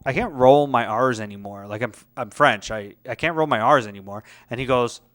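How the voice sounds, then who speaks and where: A man co-hosts a casual comedy chat, agreeing readily and telling stories in a relaxed way. His voice is bright and smooth, at an ordinary pitch for a man. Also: a little fast. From Canada. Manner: agreeable, lots of "yeah, yeah, yeah", storytelling. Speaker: podcast co-host.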